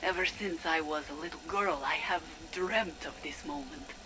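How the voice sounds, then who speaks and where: A woman playing a fervent, secretive zealot recording a hushed, intense personal log for a video game. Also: deep voice, background noise, natural voice. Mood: haunted devotion. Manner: slow, hushed, intense, deliberate. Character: fervent, secretive female zealot awaiting her father's vengeance.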